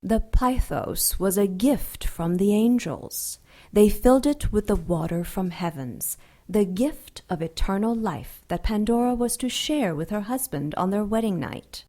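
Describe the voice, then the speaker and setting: A woman playing an imperious, sardonic ancient dark angel in an audio drama, taunting and theatrical in a deliberate way. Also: medium pitch, expressive. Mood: haughty lecturing.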